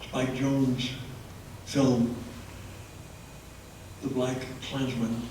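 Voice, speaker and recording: A man's voice: medium pitch, little pitch movement, very slow, darkish tone, a little hiss or hum. A man giving a public history talk to an audience, with many hesitant fillers, recorded through a handheld microphone in a meeting room. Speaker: history presenter. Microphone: handheld microphone, held low at times. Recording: echoey room from far off.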